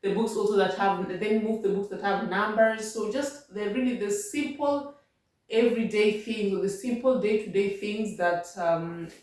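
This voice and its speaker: A woman's voice: medium pitch, steady tone, normal speed, a little mellow, distant mic. A Kenyan woman vlogging language-learning tips at home, repeating and rephrasing as she patiently explains. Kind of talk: casual advice talk. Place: home room. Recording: very echoey room, voice off-mic.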